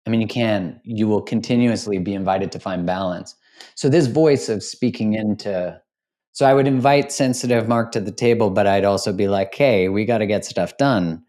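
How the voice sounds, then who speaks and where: A man's voice: lowish voice, normal speed, a little mellow. A man hosting a podcast, talking in a reflective, casual way, with easy swearing and laughter. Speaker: podcast host.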